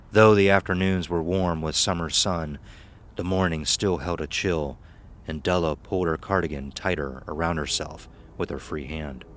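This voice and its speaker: A man's voice: rumbling low, slight background noise. A man reading a scripted horror story as narrator.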